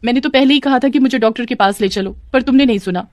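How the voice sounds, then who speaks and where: A woman's voice: a little high, fast, a little mellow, dry recording, clean studio sound, pitched above the performer's own voice, close to an everyday voice. A woman voicing a long-suffering young daughter-in-law in a cartoon tale, complaining and pleading. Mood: pleading complaint.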